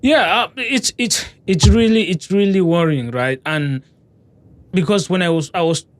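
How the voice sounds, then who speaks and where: Man with a slightly high voice, talking with hesitation. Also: measured pace. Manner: hesitant, many fillers and restarts. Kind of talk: casual discussion.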